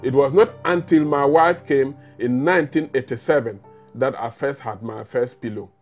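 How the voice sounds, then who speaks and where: A man's voice: medium pitch, moderate pace, faint music behind. A man from Ghana, giving articulate, earnest interview testimony. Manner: earnest, articulate, some repetition. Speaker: interviewee, former refugee.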